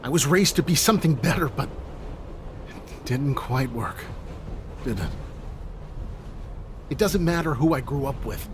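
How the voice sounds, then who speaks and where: A man's voice: mid-range voice, animated, measured pace, pauses often, natural voice. Man playing a bitter young man amid train noise. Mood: weary sorrow. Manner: sarcastic, confrontational, brooding. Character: bitter, weary young man facing death. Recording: noisy subway train ambience.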